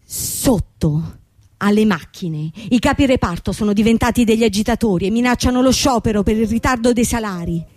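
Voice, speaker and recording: A woman acts out a harried young female boss in a radio play. Her voice is high and recorded dry and close, insistent and dismissive as she piles up words in exasperated lists. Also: a little fast, a little mellow, no echo, lightly acted. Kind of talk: theatrical two-hander radio drama.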